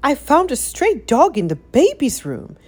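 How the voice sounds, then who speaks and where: A woman voicing a shrill, bossy, easily outraged aunt in a storybook, scolding as she exclaims. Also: wildly swooping pitch, unhurried, exaggerated voice. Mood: alarmed.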